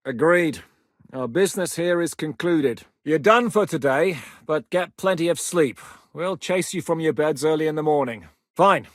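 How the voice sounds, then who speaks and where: A gruff, battle-hardened rebel commander, as a man voices him for a medieval game, speaking. He is blunt and commanding, his voice sitting a little high for a man. The studio recording is dry and close. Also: dry recording, close to an everyday voice. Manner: blunt, commanding, plain-spoken. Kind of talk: game voice lines.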